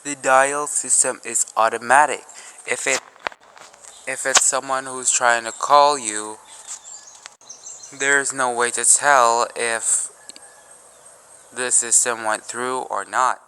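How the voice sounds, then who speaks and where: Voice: mid-range voice, fairly even intonation, takes it slowly, pauses often, pushed and bright, a little hiss or hum, rough recording. An actor playing a polite, detached telephone operator in a radio drama, speaking in clipped, formulaic phrases. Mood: apologetic, firm. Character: formal, unhelpful, polite telephone switchboard operator.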